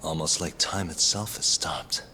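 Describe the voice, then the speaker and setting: A man's voice: very deep voice, fairly even intonation, unhurried, sharp tone, a little hiss or hum, heavily acted. An actor voicing a terse, laid-back male detective in a game cutscene, understated and world-weary.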